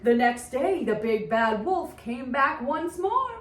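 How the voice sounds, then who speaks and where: Woman storyteller for preschool read-aloud: theatrical, very high, bright delivery, slow and deliberate, echoey room. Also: far from the mic. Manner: theatrical, expressive. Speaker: children's teacher and storyteller.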